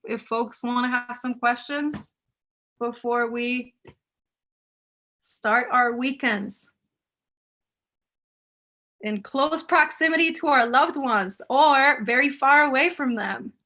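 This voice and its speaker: Bright-voiced woman from Puerto Rico. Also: on the high side, takes it slowly, pauses often. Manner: chatty, laughs often, mixes in Spanish words. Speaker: author answering audience questions. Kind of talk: casual live Q&A chat. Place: home, on a video call.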